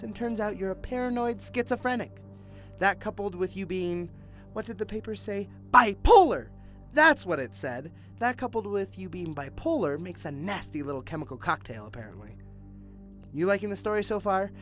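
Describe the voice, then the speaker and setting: A smug mocking cop in an audio drama interrogation, really animated, music under it. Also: male voice, high-pitched. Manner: mocking, theatrically drawn out. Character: taunting, sarcastic male detective interrogating a suspect.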